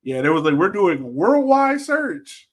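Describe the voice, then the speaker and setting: A man's voice: sits high, sing-song, a little slow. A man hosting a casual sports podcast chat, easygoing and slangy, often repeating phrases for emphasis. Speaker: podcast host.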